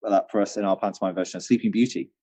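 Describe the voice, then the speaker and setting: A man's voice: low-pitched, fairly even intonation, racing, dark tone. A man co-hosting a quiz with casual, teasing banter. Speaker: quiz co-host.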